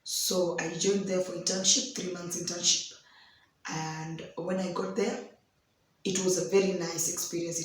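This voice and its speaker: A young Kenyan woman with a hoarse voice tells a personal story in an unhurried devotional talk, slipping in Swahili phrases. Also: lowish voice. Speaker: host of a daily devotional. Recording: very echoey room.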